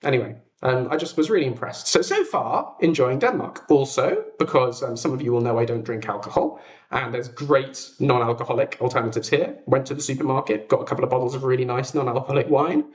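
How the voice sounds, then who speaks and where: A man's voice: mid-range voice, fairly quick. A man in his twenties with an English accent, rambling casually to a livestream chat in a chatty, self-deprecating manner. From England. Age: 20s. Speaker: livestream host.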